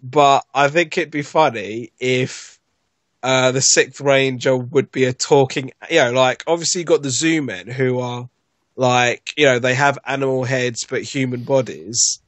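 A man's voice: medium pitch, fairly even intonation, measured pace, no echo. A man in casual chat, rambling with lots of fillers and restarts as a podcast guest. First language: not Japanese.

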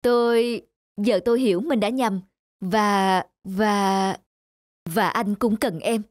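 A man voicing a proud young male scientist in an animated dub, direct and emphatic in manner. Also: high voice, slow pace. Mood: tender, hesitant. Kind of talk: animated cartoon dub dialogue.

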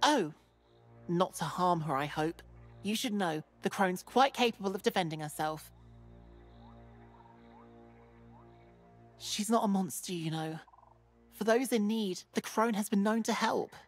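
A woman playing a gentle, earnest fen dweller in a video game, speaking in a sincere, measured way. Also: medium pitch, husky, soft background music, close to an everyday voice. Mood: protective, firm. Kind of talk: video game character dialogue.

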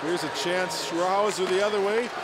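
A man's voice: high-pitched, steady tone, normal speed, over a noisy crowd. A man doing live play-by-play ice hockey commentary, calling the action in clipped phrases. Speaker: play-by-play announcer. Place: indoor ice hockey arena. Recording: loud arena noise behind broadcast commentary.

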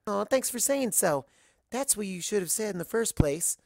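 A narrator voicing a friendly, chatty waitress pony who gently reassures and encourages in a read-aloud story. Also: medium pitch, animated, moderate pace, vocal fry, no echo, clean studio sound, pitched above the performer's own voice. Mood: reassuring, chatty.

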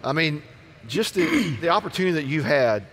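A man's voice: a little high, very animated, brisk, a bit bright. A man in his fifties hosting a conversational interview, asking questions and prompting his guest. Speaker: interviewer, host. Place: large convention hall stage, indoors. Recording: faint background noise on stage.

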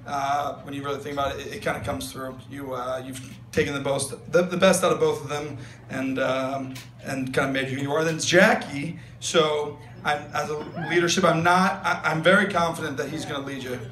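A man's voice: on the high side, steady tone, a little fast. A young man giving a best man's wedding toast on a handheld mic, rambling with fillers and jokes. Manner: rambling, filler-heavy, joking. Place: large wedding tent. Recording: echoey tent with loud room noise. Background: guests laughing.

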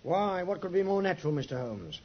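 A cocksure English police inspector in a vintage radio play. He is blunt and dogged, with a fairly high, rough-edged voice on a muffled old recording. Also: sing-song, fairly quick. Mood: smug, blunt. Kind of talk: 1950s radio drama acting.

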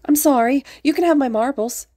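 A woman voicing a childlike, playful boy in a story, excitable and innocent with theatrical flair. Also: fairly high pitch, moderate pace, dry recording, studio quality, pitched above the performer's own voice. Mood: gleeful. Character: childlike, playful, theatrical hero-student boy among villains.

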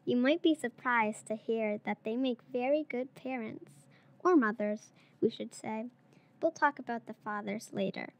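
High-voiced third-grade girl reading aloud. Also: moderate pace. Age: child, around eight or nine. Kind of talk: reading a picture book aloud.

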